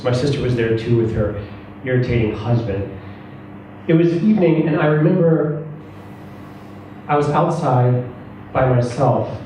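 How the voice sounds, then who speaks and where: A man reads a first-person short story aloud with a dark, mellow tone. His voice rings heavily around the room and sounds set back from the microphone. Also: medium pitch. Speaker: story reader at a live reading. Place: echoey room, away from the mic. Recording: roomy, echoing, distant mic, faint noise.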